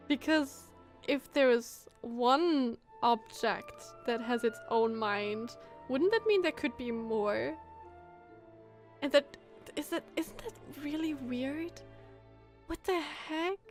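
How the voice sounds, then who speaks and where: A woman's voice: sits high, animated, extremely slow, soft background music. A woman streamer giving casual commentary on a game, reacting expressively with exclamations and musing questions.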